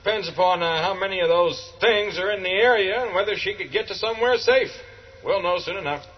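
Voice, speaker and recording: Man playing weary sheriff, wry, gruff, folksy, high-pitched, radio drama, dull noisy recording. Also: brisk. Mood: weary, matter-of-fact. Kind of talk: radio play acting.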